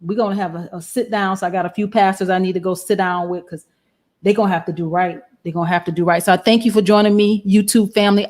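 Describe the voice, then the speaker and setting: A woman hosting a political livestream in casual chat, her voice raspy and hoarse from yelling, talking to her viewers as family. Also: lowish voice. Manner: chatty, warm toward viewers, addresses audience as family. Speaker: political show host. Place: livestream setup in Atlanta.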